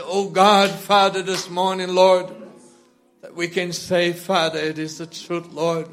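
A man leading a congregation in fervent, spontaneous prayer, pleading in short repeated phrases that swell and subside. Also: high-pitched. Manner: repetitive, pleading, short phrases punctuated with addresses to God; swells from hushed to loud and impassioned. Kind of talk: fervent extemporaneous church prayer.

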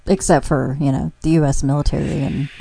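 A woman's voice: sits low, steady tone, a little fast, creaky, warm tone, a little hiss or hum, poor audio. A woman co-hosting a casual podcast, teasing her partner with dry comebacks. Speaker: podcast co-host.

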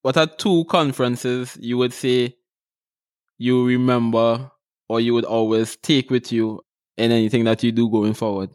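A man's voice: mid-range voice, fairly even intonation, measured pace, clean tone, no room sound, studio quality. A man hosting a podcast interview, asking questions and prompting the guest with short interjections. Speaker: podcast host.

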